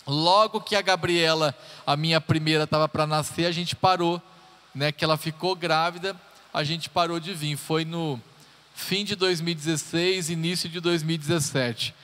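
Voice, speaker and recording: Guy in his 30s preaching, kinda high voice, joking around w the church, big hall echo. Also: fairly even intonation, moderate pace, bright, forceful tone. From Brazil. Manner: chatty and joking, talks straight to the congregation. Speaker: pastor. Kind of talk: sermon introduction, personal stories. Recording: live church hall reverb.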